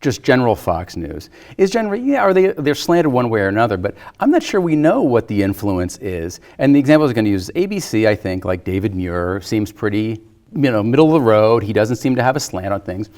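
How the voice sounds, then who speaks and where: A man with a fairly low voice talks casually as a panelist in a discussion. He is hesitant, repeating himself and circling round before he settles on his point. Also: sing-song, fairly quick, a little mellow.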